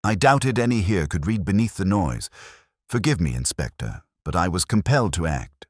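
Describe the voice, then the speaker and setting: A man's voice: low-pitched, very animated, moderate pace, dry recording, studio quality, pitched above the performer's own voice. A man voicing a proud, bitter woman librarian in a mystery story, with a haughty, grandiose manner. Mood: bitter contempt.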